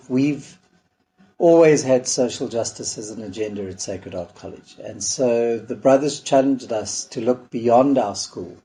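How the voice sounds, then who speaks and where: A man, a school project founder, speaking in a measured, earnest documentary interview. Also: mid-range voice, mellow, rough recording.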